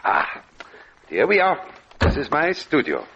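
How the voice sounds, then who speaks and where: An actor playing a suave, courtly puppeteer with a coaxing, theatrical manner in an old radio drama. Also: male voice, fairly high pitch, huge pitch swings, measured pace, heavily acted. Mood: eager, courtly. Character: suave, courtly puppeteer showman hiding something sinister. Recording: noisy, muffled vintage radio recording.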